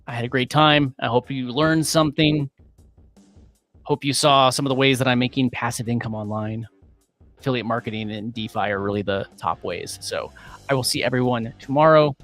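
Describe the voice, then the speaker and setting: A man hosting a casual livestream, upbeat and rambling with many fillers, his voice going a little hoarse. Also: medium pitch, moderate pace, fairly bright tone, soft background music. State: losing his voice. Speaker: livestream host.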